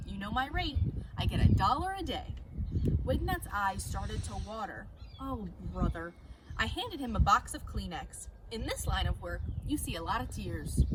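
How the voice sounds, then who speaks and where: An animated woman teacher reads a children's chapter book aloud from her home, her voice swooping widely. Her voice sits somewhat high. A steady hiss lies under her noisy home recording. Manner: animated storytelling with bright emphasis.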